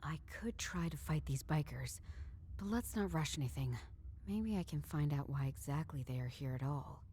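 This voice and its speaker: An actress playing a tough, dry-witted woman detective who narrates a noir game in a deadpan, understated way. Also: sits low, moderate pace, slight background noise. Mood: intent, wary.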